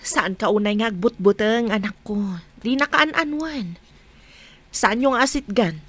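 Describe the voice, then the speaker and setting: A woman's voice: fairly high pitch, a little slow, fairly bright tone. A woman with an Ilocano accent playing a worried, protective mother who soothes her child in an audio drama. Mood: soothing.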